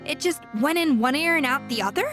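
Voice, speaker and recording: An actress playing a proud, brisk young female chef in a video game, blunt and exasperated in manner. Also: high-pitched, lively intonation, a bit bright, soft background music.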